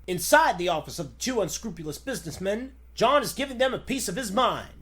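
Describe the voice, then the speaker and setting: A man's voice: sits high, animated, brisk, fairly bright tone. A man narrating a story in the scripted style of a 1940s radio serial.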